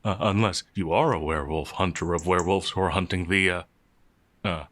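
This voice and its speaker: A man playing a gentle, anxious werewolf outlaw in a fantasy ASMR roleplay, hesitant, stammering and apologetic. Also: low voice, fairly even intonation, fairly quick, dry recording. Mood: nervous, flustered. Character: gentle, timid, anxious male werewolf outlaw, easily frightened.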